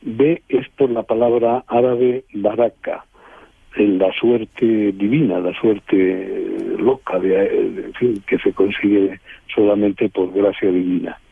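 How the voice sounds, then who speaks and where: Male interview guest, husky, halting storyteller, dry narrow phone sound. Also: fairly low pitch, fairly even intonation, no echo. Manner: halting, digressive, frequent tag questions. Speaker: guest, travel writer. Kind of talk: conversational travel anecdotes in an interview. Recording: muffled, phone-like narrowband line.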